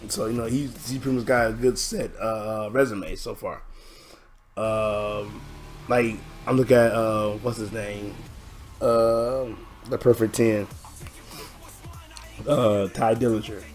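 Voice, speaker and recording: A hoarse man hosting his own channel, rambling through a casual reaction video with many halting restarts. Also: on the low side, fairly even intonation, soft background music, rough recording. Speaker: channel host.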